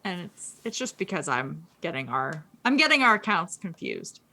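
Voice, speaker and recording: Bright-voiced chatty woman. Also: fairly low pitch, big pitch swings. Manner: chatty, quick, lots of fillers and restarts. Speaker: co-host. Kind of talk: casual gaming podcast chat.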